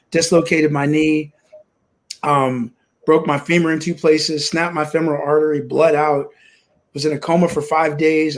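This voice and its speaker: A man in his forties from Kansas, telling his life story as an interview guest on a video call from home, upbeat and optimistic with frequent restarts. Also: a little high. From Kansas, USA. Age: 40s. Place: home room.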